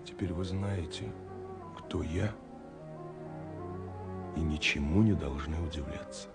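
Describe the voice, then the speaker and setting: A man's voice: a bass voice, slow pace, exaggerated voice. An actor playing an ardent, poetic young man in love, reading letters with rapt tenderness in a radio play. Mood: rapt, dreamy. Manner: rapt, swelling then dropping to hushed tenderness. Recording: 1970s radio production with music. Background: music.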